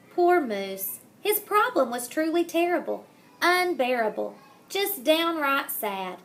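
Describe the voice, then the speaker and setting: A woman reading a children's picture book aloud in a dramatic, deliberate manner, savoring the rhymes and wordplay. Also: sits high, vocal fry. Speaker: storybook reader.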